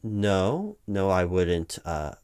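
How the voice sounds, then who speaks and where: A man playing a distracted hiring manager in a comedic one-sided job interview, rambling haltingly and repeating himself. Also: low-pitched, sing-song, a little mellow, clear, resonant tone. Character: distracted male corporate hiring manager, hesitant, patient, mildly baffled. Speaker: roleplay performer as hiring manager. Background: computer keyboard typing.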